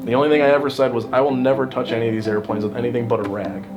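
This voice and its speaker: A man's voice: medium pitch, level tone, rapid-fire, over music. A young adult man talking casually and enthusiastically, like a pilot encouraging and instructing someone. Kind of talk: casual flight instruction and chat.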